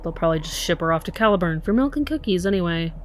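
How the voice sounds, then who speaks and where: An actress playing an angry, sardonic, foul-mouthed police detective in an audio drama, with a blunt delivery. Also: female voice, fairly low pitch, lively intonation, quick pace, slight background noise, lightly acted. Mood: dry sardonic.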